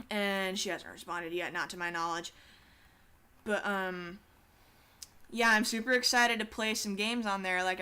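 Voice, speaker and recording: A woman's voice: medium pitch, sharp tone, clean tone. A teenage girl chatting casually while she draws, rambling and swearing with self-deprecating asides. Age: teens. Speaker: artist streaming a drawing session.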